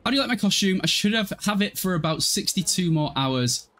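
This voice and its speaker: A man's voice: high voice, expressive, fairly quick, sharp tone. A young man giving casual, irreverent, sweary commentary while livestreaming a game and chatting with viewers. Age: young adult. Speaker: livestreamer playing a life-simulation game. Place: small room at home, indoors.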